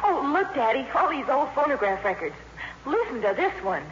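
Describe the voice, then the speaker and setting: An actress playing an excitable, gushing teenage daughter in a 1950s radio drama. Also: female voice, high-pitched, moderate pace. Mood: gushing excitement. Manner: breathless, gushing exclamations. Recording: muffled, noisy 1950s radio broadcast.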